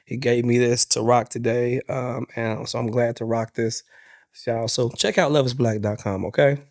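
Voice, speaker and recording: A man hosting an interview, relaxed and colloquial, leaning into easy slang. His voice has a gravelly rasp at the bottom. The sound is dry and close, with no room around it. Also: mid-range voice, normal speed, dry recording. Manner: laid-back, chatty, colloquial. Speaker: podcast host. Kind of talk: casual interview.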